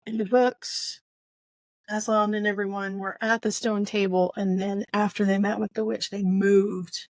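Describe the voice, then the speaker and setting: A woman's voice: mid-range voice, flat delivery, a little slow, no echo. Woman thinking aloud, close dry sound. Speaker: book podcast co-host. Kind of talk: casual book discussion chat.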